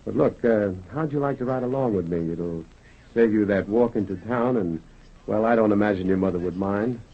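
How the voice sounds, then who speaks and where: An actor playing a genial middle-aged small-town doctor and father in a 1930s radio drama, kindly and measured. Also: fairly low pitch. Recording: muffled, hissy 1930s radio recording.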